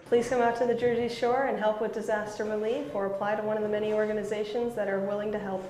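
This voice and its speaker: A woman's voice: mid-range voice, flat delivery, fast, reverberant, low-quality recording. A woman who leads a volunteer relief team, speaking earnestly in an interview. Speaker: FEMA Corps team leader. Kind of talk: on-site interview.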